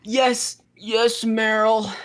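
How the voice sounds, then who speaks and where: A woman's voice: on the high side, level tone, drawn-out words, pitched below the performer's own voice. A woman voicing a giggly, emotional bride-to-be in a comic story reading. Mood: moved, tender. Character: tearful, giggly woman accepting a proposal.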